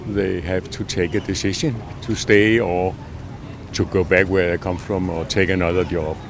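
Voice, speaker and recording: A man's voice: sits low, steady tone, moderate pace. An older man from Denmark, interviewed on the street, speaking plainly and matter-of-factly. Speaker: interviewee, long-time expat. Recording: street recording with clear background noise.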